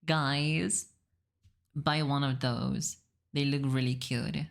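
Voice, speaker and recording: A woman's voice: extremely low pitch, steady tone, slow, creaky. A woman from Spain with a Spanish accent, speaking casually and playfully as a streamer reacting to a video, giggly and free with swearing. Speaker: VTuber streamer.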